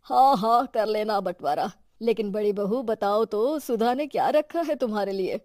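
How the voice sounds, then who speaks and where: A woman voicing a gentle, generous old father-in-law in a cartoon, mild and reassuring. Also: medium pitch, normal speed, no room sound, clean studio sound, lightly acted.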